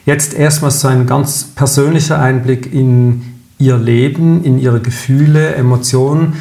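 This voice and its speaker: A man interviewing a guest in a measured way, framing long, careful questions. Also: medium pitch, gravelly, a little mellow. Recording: roomy echo.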